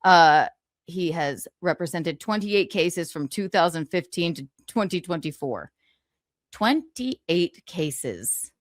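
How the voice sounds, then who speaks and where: A woman with a fairly low voice reads book passages to her stream and keeps stopping to poke fun at them. She chuckles often between lines and thanks viewers for donations as she goes. The sound is close and dry, with no room around it. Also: expressive, slow, no room sound. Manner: sarcastic asides, frequent chuckles. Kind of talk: reading a book aloud with live commentary.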